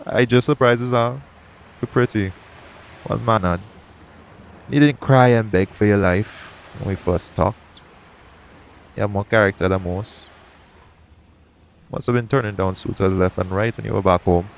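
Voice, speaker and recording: A shady pirate captain, played by a man, speaks low and close to the listener in an audio roleplay. He is jaded but tender underneath, with frank, folksy phrasing and a teasing edge. A noticeable bed of ambient noise sits behind his voice. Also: unhurried, pauses often, poor audio. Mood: gentle, sincere. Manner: colloquial dialect grammar, gently teasing, frank. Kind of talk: intimate audio roleplay acting addressed to the listener. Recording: clear background noise, ambient sound bed.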